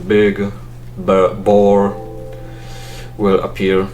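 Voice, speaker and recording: A man's voice: fairly low pitch, monotone, drawn-out words, a little mellow, faint music behind. A young man with a Polish accent, a non-native English speaker, giving dry, self-mocking gameplay commentary and thinking aloud with fillers. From Poland. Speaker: let's play gamer.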